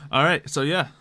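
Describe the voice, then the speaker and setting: A man's voice: on the high side, pushed and bright, slight background noise. A man narrating a tabletop roleplay game as game master, casual and improvising in a jokey way.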